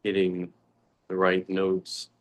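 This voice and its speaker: Male meditation teacher, low-pitched and monotone, contemplative delivery with long pauses. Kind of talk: guided meditation talk.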